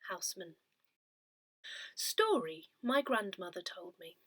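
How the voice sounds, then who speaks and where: A woman reading a scripted newsletter aloud in a measured, expressive way. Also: fairly low pitch, no echo. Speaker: newsletter reader.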